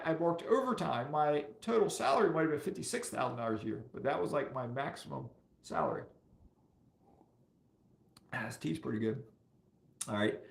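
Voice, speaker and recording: A man in his fifties speaks in a fairly high-set voice with a creaky, slightly hoarse edge. He chats casually as a livestream host, repeating key points for emphasis. The room adds a noticeable echo around him. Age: 50s. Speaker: personal finance livestream host. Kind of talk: casual livestream chat answering viewers. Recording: roomy, echoey sound.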